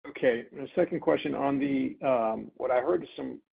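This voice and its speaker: Gravelly man on a tinny phone line. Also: mid-range voice. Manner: halting, piles up clauses. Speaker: financial analyst asking questions. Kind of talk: earnings call questioning, unscripted.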